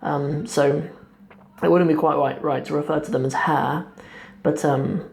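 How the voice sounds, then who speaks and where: A young man in his twenties teaching Arabic poetry line by line, thinking aloud with many pauses and restarts, seated at a desk in a small room. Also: fairly high pitch, fairly even intonation, vocal fry, a little mellow. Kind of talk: explaining a poem line by line.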